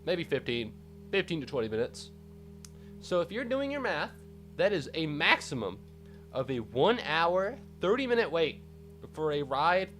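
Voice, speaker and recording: Young man, congested, streamer, rambling, lively pitch, faint background music. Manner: animated, rambling, excitable outbursts. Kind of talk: casual live-stream chat.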